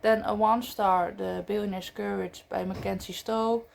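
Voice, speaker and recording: A woman's voice: medium pitch, fairly even intonation, normal speed, gravelly, a little hiss or hum. A woman who speaks English as a second language, reviewing books in a casual, chatty way with frequent fillers and self-corrections. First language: not English. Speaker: book vlogger. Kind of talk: casual book review chat.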